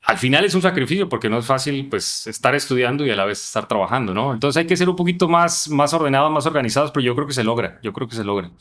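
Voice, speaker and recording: A Colombian man speaks as an interview guest at a quick clip. His voice sits at a medium pitch, and he explains his points conversationally, often checking in with little question tags. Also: animated, fairly bright tone. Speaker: podcast guest, international businessman.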